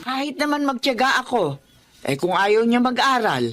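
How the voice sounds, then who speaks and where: A woman's voice: fairly high pitch, sing-song, normal speed, a bit bright, a little hiss or hum. An actress playing a fond, folksy elderly Filipino grandmother in a Tagalog radio drama. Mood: proud, chatty. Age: older.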